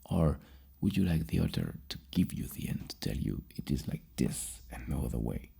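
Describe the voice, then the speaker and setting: An extremely low, breathy male voice, soft-spoken in an ASMR style. He sounds like a psychologist working through questions in a halting, rambling way, with heavy fry in a mellow tone. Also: animated. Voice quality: very deep, gravelly, breathy. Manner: slow, halting, rambling, dropping into whispers. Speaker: ASMR roleplayer playing a psychologist giving a personality questionnaire.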